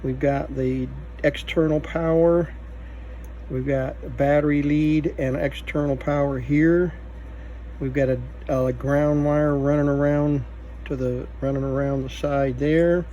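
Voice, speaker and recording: Mellow, slow-talking man muttering through repairs. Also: fairly high pitch, fairly even intonation, dull audio. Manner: halting, muttering thoughts aloud while working. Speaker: vintage electronics repair video host. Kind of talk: unscripted hands-on repair commentary. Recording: faint background noise.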